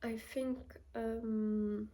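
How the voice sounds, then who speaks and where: A woman's voice: a little high, flat delivery, very slow, mellow. A young woman with a Portuguese accent, talking casually to camera as a vlogger, thinking aloud with fillers and restarts. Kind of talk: casual reflective talk to camera.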